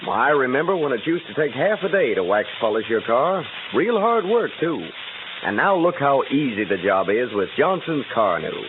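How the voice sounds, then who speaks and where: A man's voice: medium pitch, very animated, moderate pace, lightly acted. An American man playing a folksy, enthusiastic garage mechanic in a vintage radio advertisement. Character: folksy, enthusiastic male garage mechanic selling car polish. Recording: tinny, hissy old radio recording.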